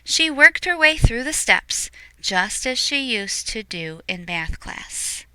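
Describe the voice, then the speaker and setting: A woman reading a picture book aloud expressively, explaining things to young listeners in hushed asides. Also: on the high side, sing-song, slow, clean tone, no room sound, poor audio.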